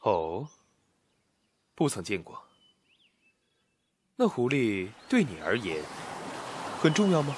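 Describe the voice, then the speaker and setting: An actor playing a sly, seductive fox prince in a costume audio drama, with a slow, lingering delivery. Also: male voice, mid-range voice, wildly swooping pitch, slight background noise. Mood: cool, guarded.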